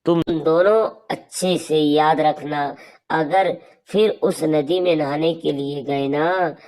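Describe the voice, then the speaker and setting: Woman as strict scolding village mother, slow. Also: sits low, steady tone, darkish tone, pitched above the performer's own voice. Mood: stern warning.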